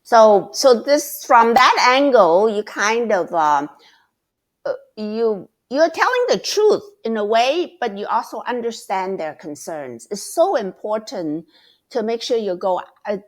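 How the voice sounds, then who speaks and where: A middle-aged woman giving advice as a mentor, talking slowly and thoughtfully, often repeating words as she searches for them. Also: a little high, very animated. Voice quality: loud, passionate voice. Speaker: guest mentor. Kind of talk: casual advice talk.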